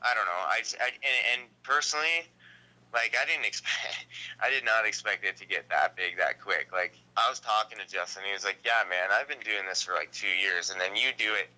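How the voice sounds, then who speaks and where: Forceful, bright-voiced guy, slangy. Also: on the low side, brisk, low-quality recording. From Canada. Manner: slangy, emphatic, peppers talk with "man" and "right". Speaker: interview guest. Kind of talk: casual phone interview chat. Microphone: phone call.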